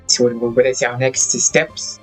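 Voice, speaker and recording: A man's voice: medium pitch, level tone, a little slow, low-quality recording. Male livestreamer with a mid-range voice, casual gameplay commentary over faint music. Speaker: game livestreamer.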